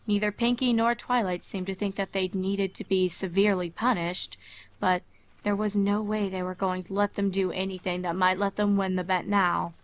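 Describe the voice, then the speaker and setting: A woman's voice: mid-range voice, flat delivery, moderate pace. A woman reading fan fiction aloud as a scripted story narrator.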